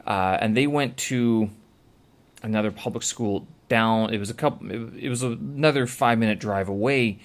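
A man's voice: lowish voice, normal speed. A man talking casually to camera in a rambling way, with many filler sounds and self-corrections. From Canada. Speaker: vlogger.

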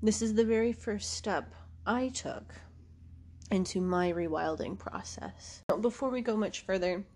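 A woman's voice: mid-range voice, measured pace, darkish tone. A woman, hosting a podcast in a casual, rambling monologue, congested from allergies and laughing often. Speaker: podcast host.

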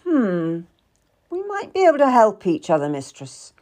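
A woman acts out a Tudor nobleman from a historical story. He is curt and calculating, talking down to those below him. The delivery is animated, with wide pitch movement. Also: normal speed. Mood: persuasive, calculating. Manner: brusque, condescending. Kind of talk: dramatised audiobook character.

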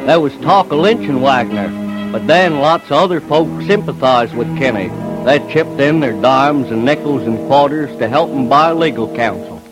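A man's voice: mid-range voice, animated, moderate pace, poor audio. A man telling a story in a folksy narrating style. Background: loud music.

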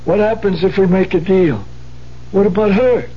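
An actor playing a shifty, wary small-time shopkeeper and fence in a 1970s radio drama, talking in hemming, streetwise slang. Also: male voice, high-pitched, normal speed, natural voice. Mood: doubtful. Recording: muffled, noisy 1970s radio recording.

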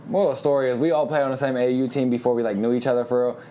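Young man, mid pitch, slangy podcast banter, faint background hiss. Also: steady tone, rapid-fire, dark tone. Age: 20s. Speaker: podcast co-host. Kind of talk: casual chat.

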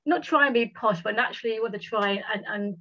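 A woman who stammers, talking haltingly in a casual conversation with repeated words. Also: on the high side, sharp tone. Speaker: guest sharing experience. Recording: dull lo-fi recording.

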